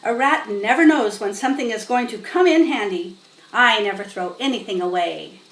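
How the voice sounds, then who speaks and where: A woman's voice: on the high side, moderate pace, close to an everyday voice. A woman voicing a surly, self-satisfied male rat who boasts about hoarding, in a storybook reading. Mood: surly boasting. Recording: roomy, distant mic, faint noise.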